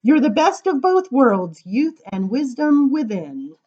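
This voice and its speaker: A woman reading poems aloud to children as a storytime librarian, with animated, sing-song emphasis and casual asides. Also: sits high, takes it slowly, lo-fi sound.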